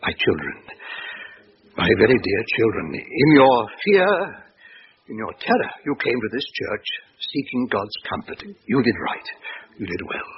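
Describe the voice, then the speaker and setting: A genteel British village vicar in a radio play. His delivery swings widely in pitch, grave and hesitant by turns, on a muffled recording with some hiss. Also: sing-song, unhurried. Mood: solemn, anguished. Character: courteous, grave village clergyman, pious and troubled. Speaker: actor in a radio drama. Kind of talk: British radio drama acting.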